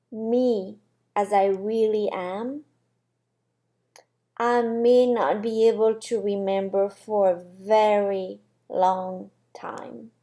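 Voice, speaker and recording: A woman voicing a gentle, selfless angelic soul in a storybook, speaking in a solemn and deliberate way. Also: on the high side.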